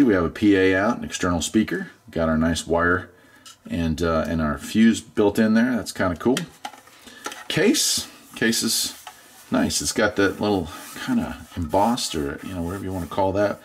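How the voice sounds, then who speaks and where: A man's voice: deep voice, a little hiss or hum. A middle-aged man chatting casually through a product unboxing in a laid-back, folksy way. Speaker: product reviewer, channel host.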